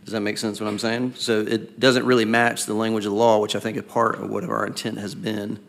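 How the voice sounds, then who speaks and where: A man with a raspy voice speaking as a school board member at a public meeting, questioning deliberately and circling back to restate his point. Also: fairly low pitch, level tone. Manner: deliberate, questioning, repeats himself while building a point.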